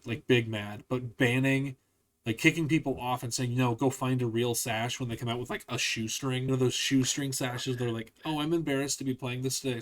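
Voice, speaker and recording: A man hosting a casual interview, chatting in an animated, opinionated way and poking fun at himself. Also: mid-range voice. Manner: animated, opinionated, self-deprecating. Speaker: podcast host.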